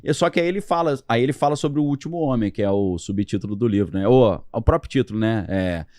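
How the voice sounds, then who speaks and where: A man at a middle pitch, talking through history in a relaxed, chatty way. He leans on slang and fillers as he explains. His voice is recorded dry and close, with no room sound around it. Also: fast talker, no room sound. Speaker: podcast guest. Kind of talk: casual conversational explanation.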